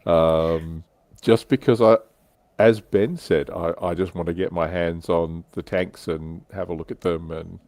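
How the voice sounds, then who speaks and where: A low-pitched man hosts a casual panel discussion. The sound is dry and close, with little room in it. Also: steady tone, unhurried, darkish tone, dry recording. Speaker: host.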